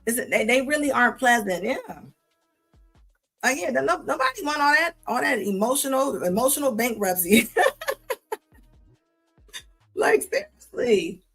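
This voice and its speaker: A woman's voice: on the high side, animated, measured pace, pauses often, a bit bright, soft background music. A woman hosting a live show, reacting to clips in a sassy, scolding tone with casual slang, often repeating herself. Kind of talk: live commentary on videos.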